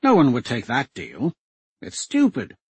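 A man's voice: mid-range voice, huge pitch swings, measured pace, breathy edge, no room sound, full character voice. A man doing a patient, reasoning swordsman. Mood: explaining. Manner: patient, explaining.

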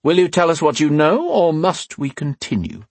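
A man voicing a cold, thin male army commander who speaks calmly and dismissively, with slow, measured delivery. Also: fairly high pitch, vocal fry, no room sound, pitched above the performer's own voice, full character voice. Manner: measured, dismissive, matter-of-fact.

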